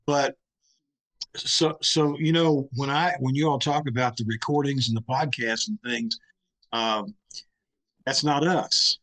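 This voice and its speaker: A man's voice: mid-range voice, fairly even intonation, a little slow, pauses often, fairly bright tone, poor audio. A man on a discussion panel explaining things in a plain-spoken, conversational way. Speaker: panelist, live radio recreation group member.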